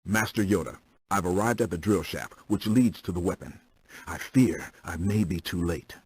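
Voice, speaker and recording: A man's voice: low-pitched, moderate pace, darkish tone, husky. A man voicing a resolute, serious Jedi warrior in a video game cutscene. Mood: grave, uneasy.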